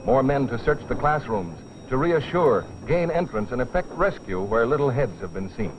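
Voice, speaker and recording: A man's voice: mid-range voice, sing-song, moderate pace, a little hiss or hum. A man giving grave, urgent scripted narration in the dramatic style of an educational training film. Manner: grave, urgent storytelling delivery. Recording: dull, lo-fi old educational film soundtrack. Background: dramatic background music.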